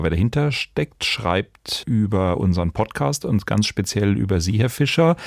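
Male podcast host, low register with audible fry, wry formal moderation, dry studio sound. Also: a little mellow, no room sound. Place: radio studio.

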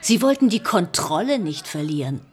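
A woman's voice: lowish voice, sing-song, moderate pace. An actress playing a middle-aged police inspector and mother in a radio drama, direct and insistent in her questioning. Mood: accusing, firm. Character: probing female police inspector, mother of grown son. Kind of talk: naturalistic German radio drama acting.

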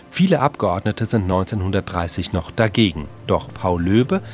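A man reads scripted documentary narration in a measured, factual manner. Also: lowish voice.

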